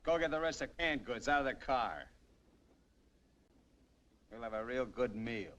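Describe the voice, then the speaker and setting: A man's voice: medium pitch, expressive, measured pace, fairly bright tone, lo-fi sound. A sneering, menacing film-noir gunman. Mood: smug commanding. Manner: curt, taunting, commanding. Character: menacing sneering gunman killer holding two men captive. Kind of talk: 1950s film noir acting. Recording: old film soundtrack with music behind.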